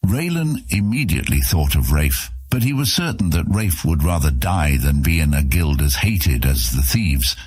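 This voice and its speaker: Male narrator: very deep, husky, measured, dry. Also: sing-song, darkish tone, no room sound. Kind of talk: scripted audio drama narration.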